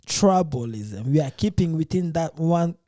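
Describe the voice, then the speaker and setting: Earnest man with a mellow voice. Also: fairly high pitch, expressive, measured pace, dry recording, clean studio sound. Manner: earnest, hesitant, restarts phrases. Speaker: podcast host. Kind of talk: casual podcast debate.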